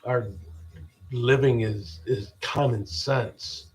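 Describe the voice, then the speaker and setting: A deep-voiced man from the United States hosting a podcast, giving a halting, rambling spiritual pep talk full of restarts. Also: steady tone, rough recording. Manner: halting, restarts often, repeats "people". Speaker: podcast host.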